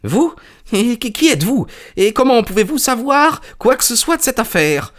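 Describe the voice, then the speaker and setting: A man's voice: high-pitched, sing-song, measured pace, voice pushed up. A man voicing a small, nervous man in an audiobook, whining, trembling and hesitant as he pleads. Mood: anxious, pleading. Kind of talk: audiobook character voice.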